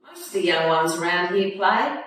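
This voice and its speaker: A woman's voice: fairly low pitch, moderate pace, a bit bright, close to an everyday voice. Woman doing an easygoing aussie country grandpa in a storybook, encouraging and hearty, super echoey room. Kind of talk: storybook character dialogue. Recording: echoey room, distant mic, faint noise.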